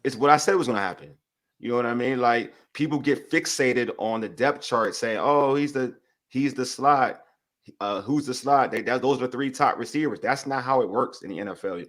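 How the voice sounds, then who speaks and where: A man co-hosting a live sports post-game show, talking in animated bursts full of restarts. His pitch sits in a middle range for a man and jumps around as he talks. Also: normal speed. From Nigeria. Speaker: podcast co-host. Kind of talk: casual sports talk.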